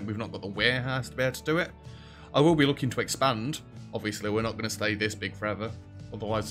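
A young British man with a rather deep voice, chatting casually over a game as host and joking with the characters on screen. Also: a bit bright. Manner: chatty, self-deprecating, talks to game characters. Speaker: gaming video host. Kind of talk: casual let's-play commentary. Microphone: headset.

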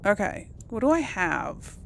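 A woman's voice: mid-range voice, big pitch swings, gravelly, slight background noise. A woman casually commenting on her gameplay, musing aloud and talking to herself. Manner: slow, musing, talks to herself. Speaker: gameplay host. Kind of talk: casual let's play commentary.

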